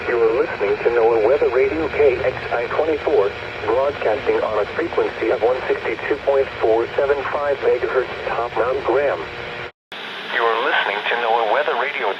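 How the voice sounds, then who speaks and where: Muffled man reading noisy radio station announcements. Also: mid-range voice. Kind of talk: formal scripted station identification announcements. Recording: muffled, noisy weather radio broadcast capture.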